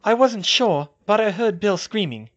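An anxious, bossy young witch, as a male narrator puts her on, pitched well up and pushed loud. She speaks with urgent emphasis in an audiobook style, and the recording is a little dull. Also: animated, measured pace. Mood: concerned. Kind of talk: audiobook character voice.

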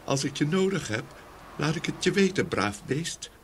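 Fairy-tale role: male actor as obedient simpleton youngest son, highish voice, animated, guileless. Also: normal speed. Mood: gentle. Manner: guileless, agreeable. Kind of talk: audio fairy-tale acting. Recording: faint ambient noise behind voice.

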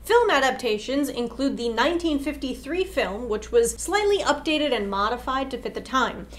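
A woman's voice: high voice, lively intonation, normal speed. A young woman co-hosting a scripted explainer, reading out narration as a presenter. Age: young adult. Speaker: co-host.

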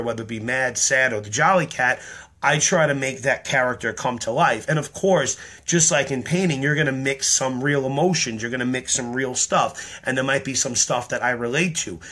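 Tired earnest man, bright tense voice. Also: medium pitch. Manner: earnest, rambling explanation with many ums. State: tired, slightly hoarse. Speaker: online performer explaining his act. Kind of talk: casual talk to camera. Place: small room indoors. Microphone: webcam or phone at close range.

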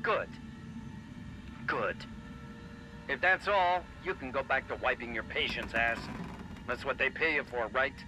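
A man's voice: medium pitch, a little slow, long pauses, close to an everyday voice. A man playing an arrogant, abusive chief biologist in a video game, with a condescending, profane manner. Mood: sneering contempt. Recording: clear background noise.

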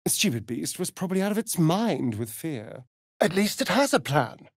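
An actor playing a sardonic, flirtatious vampire elf rogue in a video game, with an arch, droll, theatrical delivery. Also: male voice, on the high side, sing-song, normal speed, no room sound, clean studio sound. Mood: arch, teasing. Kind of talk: video game character voice lines.

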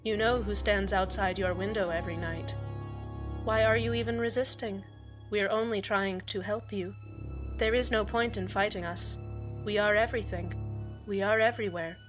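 A female radio host in an eerie audio drama, acted by a woman. She speaks at a middle pitch with soothing, condescending politeness that barely covers the menace. Music plays beneath her false cheer. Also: fairly even intonation, normal speed, lightly acted. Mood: smug menace. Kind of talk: scripted radio drama monologue. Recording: music bed under the voice.

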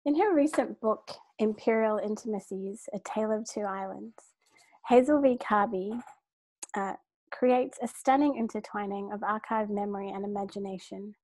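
A woman from Sri Lanka giving a measured, formal academic lecture from home over a video call, with brief fillers and restarts. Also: medium pitch, darkish tone, no echo, poor audio. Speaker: keynote lecturer, art historian. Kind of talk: academic lecture read from a script. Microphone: computer mic on a video call.

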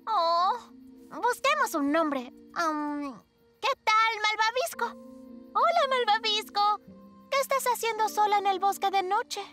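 A kind, adventurous little girl in a children's cartoon. Her voice is very high and bright, cheerful and unhurried, over faint music. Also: expressive, full character voice. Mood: eager, kind. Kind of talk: animated children's cartoon dialogue.

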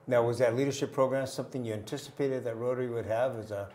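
A man's voice: medium pitch, fairly even intonation, fast talker, dark tone. An older man hosting a community TV interview in a small studio, asking questions and giving short encouraging replies. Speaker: TV talk show host.

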